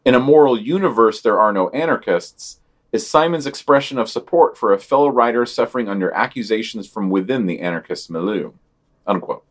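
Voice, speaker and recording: A man co-hosting a podcast, reading long quoted passages from news items. Between the quotes he gives flat, deadpan and critical remarks. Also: fairly low pitch, a little fast. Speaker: podcast co-host.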